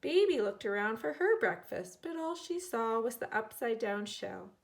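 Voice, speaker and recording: A woman teacher reading a children's storybook aloud in an expressive way, acting out the characters. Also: on the high side, normal speed, gravelly. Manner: expressive, dramatizing characters' lines.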